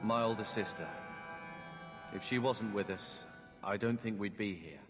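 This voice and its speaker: An actor playing a moody, resentful younger brother, a young adult refugee in a video game. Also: male voice, on the low side, unhurried. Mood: sullen. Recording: long reverb, music behind.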